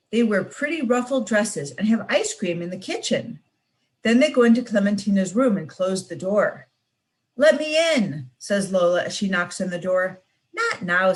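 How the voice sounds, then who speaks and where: A young woman student reading a children's picture book aloud in an expressive, sing-song way. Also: mid-range voice. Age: young adult. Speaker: student reader.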